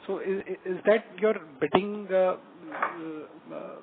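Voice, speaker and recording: A man with an Indian accent asking questions as an analyst, haltingly, repeating words. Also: high-pitched, fairly even intonation, very noisy. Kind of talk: question and answer on a conference call. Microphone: phone. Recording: narrow phone line with background chatter.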